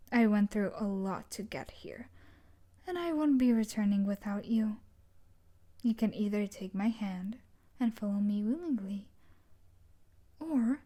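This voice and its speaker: Slow, whispery obsessive yandere stalker played by a woman. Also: medium pitch, mellow, lightly acted. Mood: coolly threatening. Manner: breathy, slow, coaxing, menacing, often dropping to a whisper. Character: obsessive yandere stalker woman, a dark romance book character come to life. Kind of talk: intimate roleplay audio drama.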